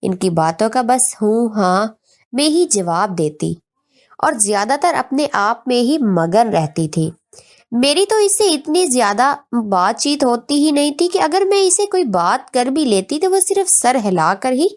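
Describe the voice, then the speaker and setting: High-pitched woman narrating a story. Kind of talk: scripted first-person narration of an emotional family story.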